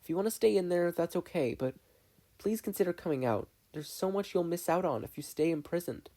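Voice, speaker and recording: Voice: mid-range voice, lively intonation, brisk, warm tone, pitched above the performer's own voice, lightly acted. A narrator voicing an earnest, upset young girl in a fan fiction reading. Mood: gentle pleading. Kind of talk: fan fiction audiobook character voice.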